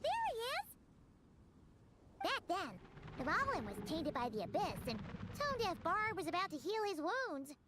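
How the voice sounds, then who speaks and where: A woman voicing a tiny, excitable, cheeky fairy companion with a squeaky childlike voice in an anime game. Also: normal speed, heavy background noise, exaggerated voice. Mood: excited, chatty. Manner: refers to self in third person, exclamatory.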